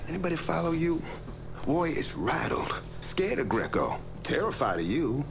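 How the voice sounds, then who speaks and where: Gravelly, sly gangland informant. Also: male voice, fairly high pitch, very animated, normal speed. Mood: sly, confident. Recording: noisy background ambience.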